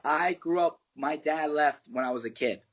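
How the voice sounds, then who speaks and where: Candid New fighter, husky voice. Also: male voice, a little high, moderate pace, lo-fi sound. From United States. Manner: candid, rambling, swears casually. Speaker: MMA fighter and martial arts teacher. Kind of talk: casual interview answers.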